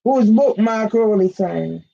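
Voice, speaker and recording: An older woman with a Jamaican accent, delivering an agitated, repetitive monologue for her own channel that rises now and then into shouts. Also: medium pitch, slow pace, vocal fry. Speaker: vlogger. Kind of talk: impassioned monologue. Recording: dull lo-fi audio.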